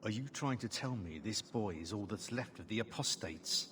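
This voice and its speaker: An actor playing a stern, suspicious knight commander in a fantasy video game, curt and commanding. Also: male voice, lowish voice, animated, normal speed, fairly bright tone, slight background noise.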